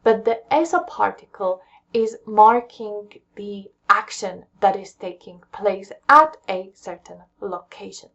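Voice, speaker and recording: A young English woman teaches a patient, step-by-step lesson at her desk, with a gravelly fry running through her voice. The webcam sound is dull and lo-fi, and she keeps checking in with "okay?" as she explains. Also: medium pitch, unhurried, fairly bright tone. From England. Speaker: Korean language teacher.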